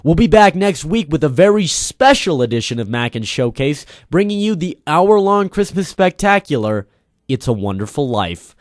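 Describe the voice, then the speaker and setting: A man's voice: a little high, big pitch swings, normal speed, dry recording, studio quality. A man giving an earnest, formal radio show sign-off as its writer and director. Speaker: writer, director and lead actor, signing off.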